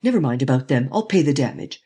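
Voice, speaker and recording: A reader voicing a daring, commanding gentleman thief who speaks urgently and forcefully in an audiobook. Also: medium pitch, lively intonation, fast, breathy edge. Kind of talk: audiobook reading.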